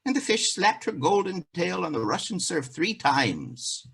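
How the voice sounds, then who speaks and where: Casting: male stage storyteller, husky and creaky, dramatic fairy-tale monologue. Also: sits high, sing-song, measured pace, fairly bright tone. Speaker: actor telling a folktale in a play.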